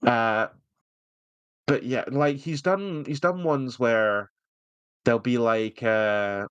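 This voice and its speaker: A man's voice: mid-range voice, slow pace, clean tone, no echo, dull audio. A man co-hosting a casual review chat, talking in a jokey, teasing way. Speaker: podcast co-host.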